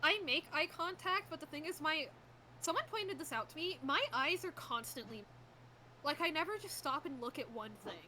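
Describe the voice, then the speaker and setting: A woman's voice: high voice, animated, normal speed, sharp tone. A young woman chatting casually with friends on a stream, rambling with lots of "like" and frequent laughs. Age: young adult. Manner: rambling, many "like"s, laughs often. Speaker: streamer host. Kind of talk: casual group chat. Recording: noisy stream audio.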